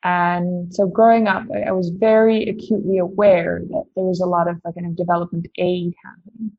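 A woman's voice: fairly low pitch, level tone, a little fast, dull audio. A woman from the Netherlands, a company founder answering interview questions, thinking aloud with many "like"s and restarts. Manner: lots of "like" and restarts, thoughtful.

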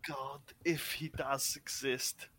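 A man doing an impression of a solemn, bleak film director, speaking gravely and deliberately. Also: medium pitch, little pitch movement, bright, forceful tone, heavily acted. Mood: grave disgust. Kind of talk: celebrity impression in casual podcast chat.

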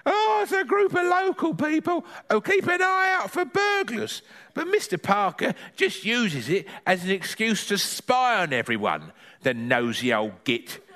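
A man voicing a cheeky, scheming old grandmother in a high, gossipy voice for a storybook reading. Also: sing-song, a little slow, pushed and bright, heavily acted.

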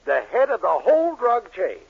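An actor playing a sneaky, henpecked middle-aged shopkeeper, fussy and stammering, in a 1940s radio comedy. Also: male voice, very high voice, huge pitch swings, moderate pace, gravelly, breathy edge, full character voice. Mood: panicky, conniving. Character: flustered, henpecked, sneaky married drugstore owner. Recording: muffled, scratchy 1940s radio recording.

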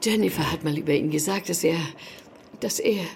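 A woman's voice: deep voice, fairly quick, vocal fry, noisy background. An actress playing a polite, anxious middle-aged bereaved mother in a German audio drama, speaking hesitantly. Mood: hesitant recollection.

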